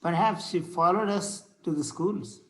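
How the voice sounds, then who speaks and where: A man reading the part of a pious, pedantic, gentle clergyman in an amateur table read, formal and a little halting. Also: high-pitched, rough recording. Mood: mildly pedantic.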